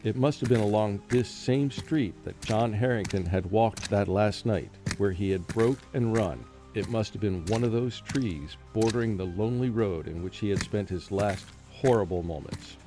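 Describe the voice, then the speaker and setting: A man acts out a frightened professor in a radio horror drama. His voice sits low, halting and brooding, with music playing clearly underneath. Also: close to an everyday voice. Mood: rising terror. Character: frightened haunted male college professor recounting a curse.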